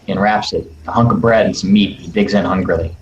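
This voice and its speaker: A man with a low voice narrates stage directions from a script. The sound is roomy and echoey, with faint noise behind it. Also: fast, lo-fi sound. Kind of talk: scripted narration at a table read.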